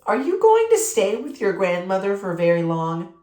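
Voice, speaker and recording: Friendly, curious young girl, read by a storyteller, lifted pitch. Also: huge pitch swings, moderate pace, a little mellow. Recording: roomy echo.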